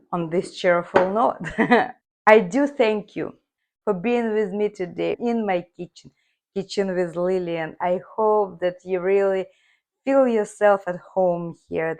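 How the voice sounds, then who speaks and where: A woman hosting a cooking video from her home kitchen, her voice hoarse, chatting viewers through each step. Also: medium pitch, darkish tone. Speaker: cooking channel host. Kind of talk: casual cooking demonstration.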